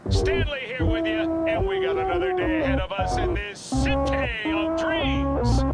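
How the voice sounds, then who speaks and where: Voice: very high-pitched, unhurried. An actor playing an upbeat, slick, streetwise radio DJ with a showy drawl, greeting a gritty city in a video game. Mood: upbeat, wry. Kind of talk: theatrical radio DJ monologue. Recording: loud music over the voice.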